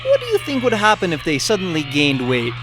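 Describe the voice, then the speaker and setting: A wry, grumbling mechanical inventor hero played by a man, delivered with hugely varied intonation. His voice sits fairly high, with prominent music behind the animated fan dub. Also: a little fast. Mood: sly.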